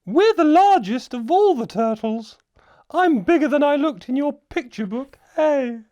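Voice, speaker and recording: A woman playing a huge, gentle, very old female leatherback turtle in a storybook, speaking slowly and deliberately. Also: high-pitched, animated, lo-fi sound, pitched above the performer's own voice. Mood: friendly boasting. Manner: slow, deliberate, drawn-out delivery. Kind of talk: storybook character voice.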